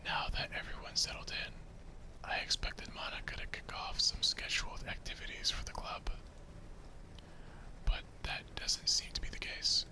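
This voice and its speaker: A man's voice: moderate pace, pushed and bright. A man narrating a visual novel in a whispered ASMR style.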